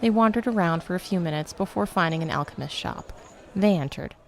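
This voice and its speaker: A woman narrating a scripted fantasy story in a steady storytelling manner. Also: lowish voice, a little fast, rough recording.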